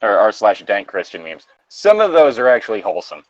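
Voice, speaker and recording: A man's voice: fairly low pitch, huge pitch swings, brisk, no room sound. A man doing a comic read-aloud with casual commentary, irreverent and sardonic, on a slightly muffled mic. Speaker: co-host and reader.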